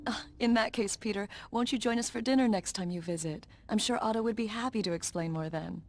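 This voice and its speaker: An actress playing a kindly, gracious middle-aged scientist's wife in a video game. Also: female voice, mid-range voice, brisk, slight background noise, natural voice.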